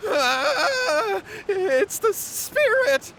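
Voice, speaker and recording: A man's voice: very high voice, big pitch swings, extremely slow, sharp tone, slight background noise, exaggerated voice. A man playing an anxious, contrite young brother in a video game, earnest, nervous and deferential. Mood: terrified. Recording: faint music behind.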